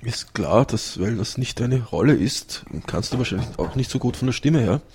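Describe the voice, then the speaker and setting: Radio host, male, middle pitch, friendly and prompting, light hiss and clicks on the line. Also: lively intonation, moderate pace, a little mellow. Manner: friendly, prompting questions with fillers. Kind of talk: live community radio chat.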